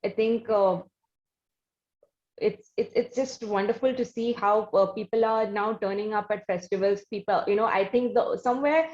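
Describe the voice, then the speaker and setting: A woman with an Indian accent hosting a conversational interview in halting phrases with many pauses, on a dull recording. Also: medium pitch, darkish tone. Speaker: interviewer, show host. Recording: dull, lo-fi sound.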